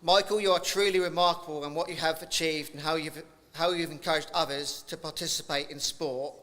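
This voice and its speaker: Bright-voiced man presenting awards in a hall. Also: fairly high pitch, fairly even intonation, fairly quick, a little hiss or hum. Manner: effusive praise, occasional restarts. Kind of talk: live awards ceremony speech. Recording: reverberant hall, faint ambience.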